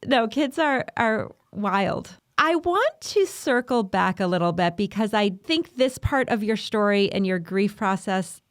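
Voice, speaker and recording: A woman's voice: mid-range voice, no echo. Woman podcast host, dry close sound. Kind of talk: interview hosting, casual chat.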